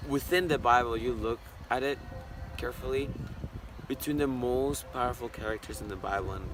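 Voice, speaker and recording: A man's voice: medium pitch, moderate pace, noisy background. An earnest, reflective eighteen-year-old man from Costa Rica, sharing his story and thinking aloud as he gives a word of encouragement. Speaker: interviewee. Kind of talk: personal testimony, word of encouragement. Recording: loud background music. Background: loud music.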